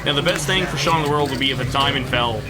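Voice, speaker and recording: A man's voice: medium pitch, fast. A man co-commentating live poker, rambling with lots of filler words. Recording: loud background noise.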